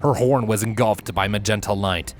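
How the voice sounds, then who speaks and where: Guy narrating a fantasy story, kinda deep voice, music playing behind him. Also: steady tone, moderate pace. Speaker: fan fiction reader, narrator. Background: background music.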